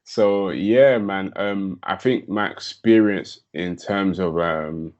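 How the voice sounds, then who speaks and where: Laid-back young man, low voice. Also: slow, a little mellow, dull audio. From London, England. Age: young adult. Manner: laid-back, slangy, laughs often. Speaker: podcast guest. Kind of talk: casual chat.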